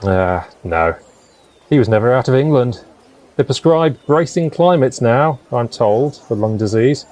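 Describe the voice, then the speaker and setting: Expressive, dreamy poetic gentleman, played by a man. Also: medium pitch, unhurried, close to an everyday voice. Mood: mournful. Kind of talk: period audio drama acting.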